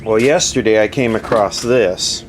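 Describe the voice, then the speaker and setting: A man with a low voice talks casually through a repair at his workbench. His delivery is unscripted and matter-of-fact. The amateur camera audio carries faint background noise. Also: unhurried. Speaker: vintage radio repair hobbyist presenting. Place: indoor workshop at a workbench.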